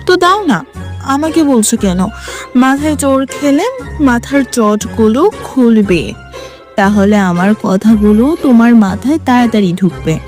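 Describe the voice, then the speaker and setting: A female actor plays a bold, mischievous young woman in love, in an audio drama. Her voice is high and her manner cheeky and teasing. Faint music sits behind her. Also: lively intonation, measured pace, darkish tone. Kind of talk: Bengali audio story acting.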